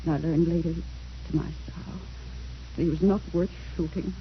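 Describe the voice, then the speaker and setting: A woman's voice: sits low, steady tone, moderate pace, close to an everyday voice. A woman playing a weary, remorseful middle-aged former wife in an old-time radio drama, hesitant and pleading. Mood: weary regret. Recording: dull, faintly noisy old radio recording.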